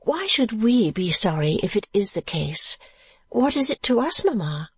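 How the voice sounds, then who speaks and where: Woman playing a gentle, high-voiced young daughter. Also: sing-song, measured pace, slightly rough, dry recording, full character voice. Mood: gently protesting. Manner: gentle, hasty, demurring. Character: modest, gentle young daughter, shy and earnest. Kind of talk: dramatised classic novel reading.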